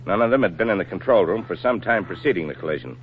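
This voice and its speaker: An actor playing a stern, middle-aged Navy admiral in a 1940s radio drama, speaking in a formal, measured, authoritative way. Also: male voice, low voice, lively intonation. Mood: grave, businesslike. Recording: muffled, noisy 1940s radio recording.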